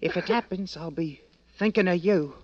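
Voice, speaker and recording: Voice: medium pitch, normal speed, dry recording, lightly acted. An actor playing a cocky, fond young English working-class army fifer in a 1940s radio drama. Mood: tender. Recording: dull old radio recording.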